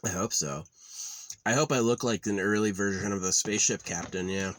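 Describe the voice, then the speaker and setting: A young man talking in a rambling, offhand way at a quiet outdoor campsite, often trailing off with filler. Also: on the low side, a little fast. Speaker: vlogger. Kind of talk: rambling casual monologue.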